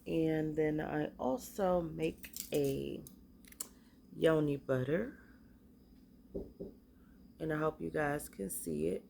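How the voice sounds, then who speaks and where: A woman with a stuffy cold, casually showing off the spiritual bath and body products she makes, talking in a halting, hesitant way. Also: low-pitched, vocal fry, mellow, slight background noise.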